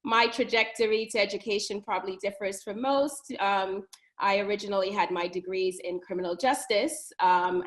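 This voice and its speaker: Woman principal, earnest, measured, halting ums, video call. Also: mid-range voice. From New York. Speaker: high school principal, panelist. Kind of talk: webinar panel remarks.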